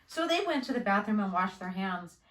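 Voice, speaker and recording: A woman's voice: medium pitch, sing-song, a little fast, fairly bright tone. A woman, a school nurse, reading a children's storybook aloud as narrator. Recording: roomy, echoing indoor sound.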